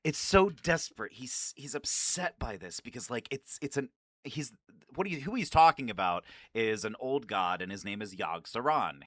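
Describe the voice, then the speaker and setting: A man hosting a casual, rambling fan video in an excitable, self-deprecating way. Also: medium pitch, moderate pace. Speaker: amateur voice-acting enthusiast, video host.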